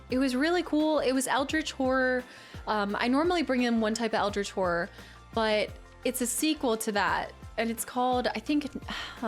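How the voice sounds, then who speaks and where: A young woman streamer chatting casually with her viewers, laughing and teasing herself as she reads the chat aloud. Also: fairly high pitch. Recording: faint music behind the voice.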